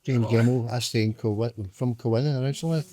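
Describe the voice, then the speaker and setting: A man's voice: mid-range voice, fairly even intonation, fairly quick, mellow. A man in his fifties reminiscing in a blunt, offhand way and swearing casually. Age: 50s. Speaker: music fan guest.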